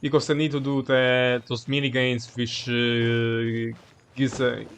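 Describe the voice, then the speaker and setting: Halting male game streamer. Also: medium pitch, monotone, a bit bright, a little hiss or hum. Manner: halting, informal, rambling. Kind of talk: casual livestream chat over gameplay.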